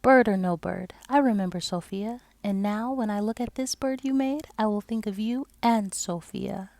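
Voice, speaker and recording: A woman's voice: medium pitch, normal speed, darkish tone, close to an everyday voice. A kindly elderly grandmother from Central America in a storybook, voiced by a woman narrator. She is affectionate and calls out in a fond sing-song way. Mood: tender.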